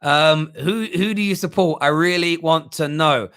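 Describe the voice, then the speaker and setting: A man's voice: on the high side, unhurried, a bit bright, clear, resonant tone. A man hosting a football fan livestream, chatting bluntly and emphatically about viewer comments and often repeating himself. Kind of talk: casual live fan talk, reading out viewer comments.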